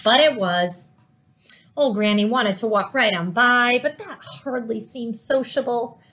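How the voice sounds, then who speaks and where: A middle-aged woman reading a children's storybook aloud in an animated way, with dramatic, drawn-out emphasis. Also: on the high side. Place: living room at home, indoors.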